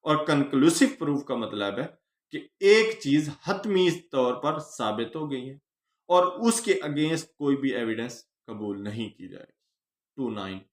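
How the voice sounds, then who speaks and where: A man with a Pakistani accent giving an explanatory law lecture, teaching phrase by phrase with pauses. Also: mid-range voice, big pitch swings. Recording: echoey room.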